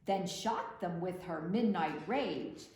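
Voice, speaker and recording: A woman reading picture books aloud for children in an expressive, deliberate storytelling delivery, recorded in a roomy space. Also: fairly low pitch, vocal fry. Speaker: children's librarian, storytime reader. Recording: roomy echo with faint background noise.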